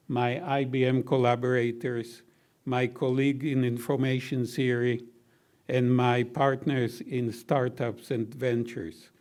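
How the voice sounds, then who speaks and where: An older man with a baritone voice delivers closing remarks at a university reception, speaking very slowly and deliberately. His pitch sits in an ordinary middle range, and his gratitude comes with the odd gentle joke. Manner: slow, measured, gently humorous. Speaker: honoree giving closing remarks. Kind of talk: heartfelt formal thank-you speech.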